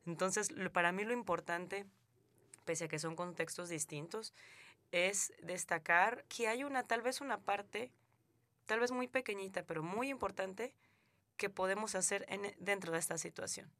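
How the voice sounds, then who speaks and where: A Mexican actress with a Mexican accent, speaking earnestly as a radio interview guest, with frequent fillers and restarts. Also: female voice, medium pitch, moderate pace, fairly bright tone, dry recording.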